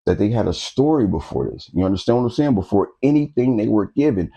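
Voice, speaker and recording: Host type, male, low mellow voice, preachy street-talk lecturer who keeps checking the audience follows. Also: expressive, quick pace, vocal fry, slightly rough, dull audio. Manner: street-talking preacher, repeats phrases, keeps asking "you understand what I'm saying". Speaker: host of a history talk channel. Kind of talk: casual lecture to camera.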